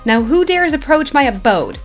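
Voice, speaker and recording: A woman's voice: sits high, big pitch swings, normal speed, soft background music, voice pushed up. A woman voicing a haughty, reclusive old lord of a manor in a video game, with pompous, archaic phrasing. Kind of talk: reading game quest dialogue aloud.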